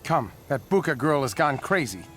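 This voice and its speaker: A man's voice: fairly high pitch, big pitch swings, moderate pace, a bit bright, soft background music. A man playing a wry, watchful fighting companion in a fantasy game, dry and pointed. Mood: wry, alert.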